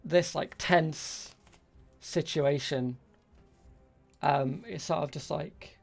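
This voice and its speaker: Slow, creaky-voiced game master over music. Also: mid-range voice. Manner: halting, searching for words. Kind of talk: improvised narration of a tabletop role-playing game.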